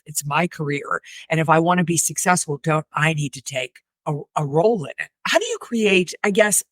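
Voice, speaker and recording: A woman's voice: fairly low pitch, dry recording. A middle-aged woman hosting a livestream interview over a video call, thinking aloud enthusiastically as she frames her questions. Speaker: livestream host. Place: wood-panelled room, indoors.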